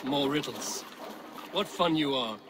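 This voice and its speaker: An actor playing a proud, skeptical Viking warrior in a video game, with a dry, wry delivery and old-fashioned phrasing. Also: male voice, mid-range voice, lively intonation, unhurried, very noisy, natural voice. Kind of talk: video game cutscene acting.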